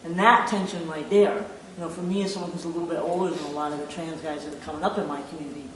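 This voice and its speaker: A man's voice: on the high side, fairly even intonation, rapid-fire, a bit bright, roomy sound, recorded from a distance, rough recording. A man talking casually and reflectively in an interview as a playwright.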